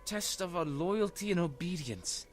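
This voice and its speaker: Male actor as youthful troubled prince, fairly high male voice, earnest, audio drama, light music behind. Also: animated, a little slow. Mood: worried. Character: earnest runaway teenage desert prince, troubled.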